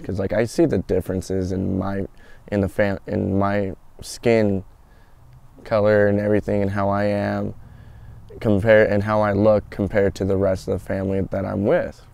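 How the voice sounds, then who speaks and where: A man's voice: low-pitched, creaky, mellow. A man in his early twenties casually telling his own story in an outdoor interview. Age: early 20s. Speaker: documentary interviewee. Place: outdoors in a garden.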